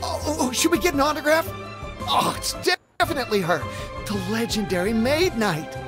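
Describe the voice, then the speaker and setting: A woman's voice: on the high side, expressive, normal speed, lightly acted. A woman voicing an impressionable female townsperson in a game, hesitant and easily awed. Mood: starstruck. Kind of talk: video game character acting. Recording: background music under voice.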